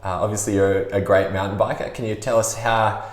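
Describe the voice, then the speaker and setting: A man's voice: on the low side, little pitch movement, fairly quick. A man hosting a casual interview, laughing along and hesitating with "um" and "uh". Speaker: interviewer, newsletter host. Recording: echoey room.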